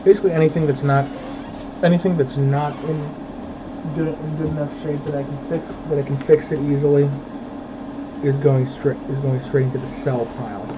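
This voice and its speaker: A woman with a low, masculine-sounding voice, chatting casually on a livestream and often restarting her phrases. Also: fairly even intonation, normal speed, lo-fi sound, noisy background. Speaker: livestreamer sorting model trains.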